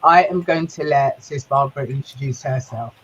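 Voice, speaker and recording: A woman from Ghana, co-hosting a livestream chat, slightly hoarse, friendly and quick to laugh, stopping now and then to rest her voice. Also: a bass voice, slight background noise. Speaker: co-host. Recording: slightly dull lo-fi sound.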